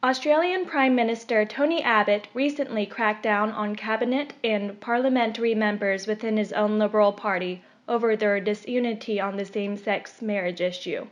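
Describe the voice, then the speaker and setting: A woman reading a scripted opinion article aloud in a measured, formal delivery. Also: mid-range voice. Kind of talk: scripted narration. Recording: dull, lo-fi recording.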